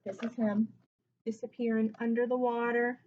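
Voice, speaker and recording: A woman's voice: a little high, flat delivery, moderate pace, a little mellow, dull audio, slight background noise. A woman reading a children's picture book aloud expressively, breaking off for chatty asides to her listeners.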